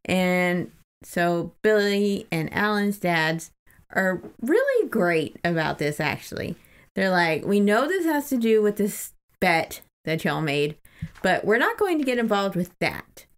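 A woman's voice: medium pitch, sing-song, a little slow, clean tone. A woman co-hosting a podcast, casually recapping a book in an informal, amused way. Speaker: podcast co-host.

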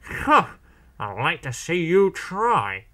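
A man's voice: medium pitch, big pitch swings, slow pace. A man voicing a pompous, sneering, sulky big diesel engine in a children's story, with a haughty, mocking manner. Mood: indignant. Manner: haughty, mocking, spluttering when thwarted.

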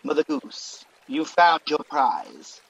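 A man's voice: on the high side, huge pitch swings, takes it slowly, vocal fry, fairly bright tone, long reverb tail, lo-fi sound, heavily acted. An actor playing a scheming, boastful Demon King villain in a radio pantomime, with a grandiose, theatrical delivery. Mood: smooth, persuasive.

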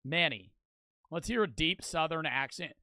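A man's voice: on the high side, animated, normal speed, pushed and bright, dry recording, clean studio sound. A man with a New Jersey accent, chatting bluntly and crudely while reacting to a video on a live stream. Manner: blunt, crude, self-mocking. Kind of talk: casual live-stream chat.

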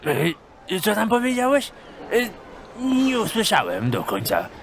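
A man's voice: high-pitched, wildly swooping pitch, unhurried, gravelly, slight background noise, exaggerated voice. An actor playing a grumpy, dim-witted, heavyset older man in an audio drama, blustering in colloquial street talk. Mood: indignant.

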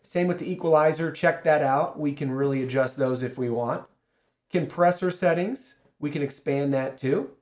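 Higher-pitched man presenting a tech tutorial. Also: moderate pace, a little mellow. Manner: upbeat, explanatory, addresses viewers directly. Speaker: product reviewer and host. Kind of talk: casual product overview and software tutorial. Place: small indoor studio. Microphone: shotgun studio microphone through an audio mixer.

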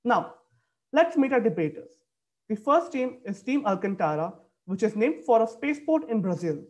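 A man's voice: high-pitched, big pitch swings, normal speed. A young man reads out formal announcements as a debate moderator, evenly and from a script. He is speaking into a computer mic on a video call from an indoor room.

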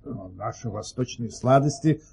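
An actor playing an imperious, touchy Turkish sultan in an audio play, pompous and emphatic, with a husky voice. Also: male voice, medium pitch, normal speed, darkish tone. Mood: indignant.

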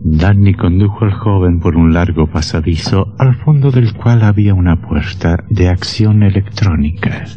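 A man playing a sinister horror narrator in a radio drama, solemn, deliberate and ominous. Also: low voice, lightly acted. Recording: muffled old radio sound, music behind.

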